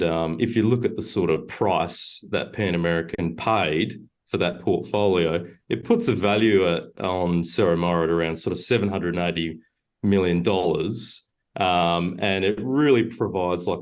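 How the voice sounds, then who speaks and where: A man, a mining company director, answering interview questions in a measured way with frequent fillers and self-corrections. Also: sits low.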